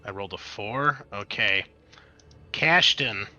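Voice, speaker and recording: Man, game master, crisp bright tone, tabletop roleplay narration, dramatic then conversational. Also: fairly low pitch, expressive, slow, highs cut off, faint music behind. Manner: dramatic scene-setting mixed with casual rules talk.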